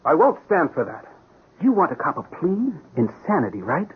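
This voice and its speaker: A proud police sergeant under a cloud, speaking with insistent, defiant earnestness. His voice is husky and moves up and down a lot, heard through a muffled, narrow radio drama recording. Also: fairly high pitch, sing-song, moderate pace, exaggerated voice. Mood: defiant, angry. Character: proud, embattled police sergeant accused of killing a suspect.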